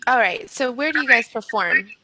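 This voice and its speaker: A woman's voice: fairly high pitch, animated, moderate pace, sharp tone, a little hiss or hum, rough recording. A woman conducting a casual live interview, chatting informally with her guests.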